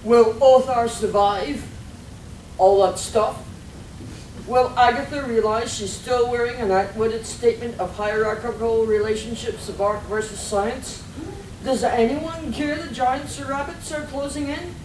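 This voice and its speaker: An echoey live recording with faint noise of a woman as a radio serial announcer. The voice is fairly high and theatrical, posing cliffhanger questions with mock suspense. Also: steady tone, a little slow, a little mellow, recorded from a distance, natural voice. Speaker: narrator.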